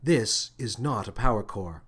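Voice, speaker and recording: A man voicing an unflappable, dryly amused female crew officer in a children's sci-fi story, measured and deadpan. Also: lowish voice, breathy edge, full character voice. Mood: calm, dry.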